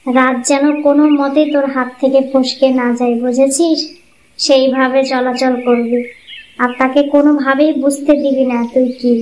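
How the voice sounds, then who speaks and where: A woman's voice: high-pitched, level tone, moderate pace, darkish tone, lightly acted. A woman voicing a bossy, scheming married woman in a cartoon family folktale, with an insistent, wheedling way of talking. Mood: bossy, advising. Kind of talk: cartoon fairy-tale voice acting. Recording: muffled lo-fi audio, clear background noise.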